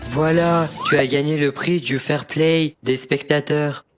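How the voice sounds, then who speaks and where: A woman's voice: deep voice, steady tone, unhurried, faint music behind. A woman voicing a blunt, sceptical young boy train in a children's cartoon, given to grumbling. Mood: friendly. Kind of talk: children's cartoon dub acting.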